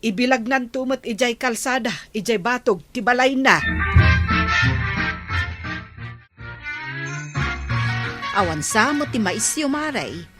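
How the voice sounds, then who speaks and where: A woman's voice: mid-range voice, natural voice. A woman playing a scolding, exasperated village wife in an Ilocano radio comedy, nagging emphatically with an Ilocano Filipino accent. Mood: grumbling.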